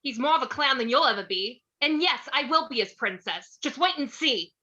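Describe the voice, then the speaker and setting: A woman's voice: high-pitched, animated, normal speed, bright, forceful tone. A woman playing a lovestruck, defiant young clown woman in a dramatic script reading, on a dull microphone. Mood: defiant, smitten. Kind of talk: screenplay table read acting.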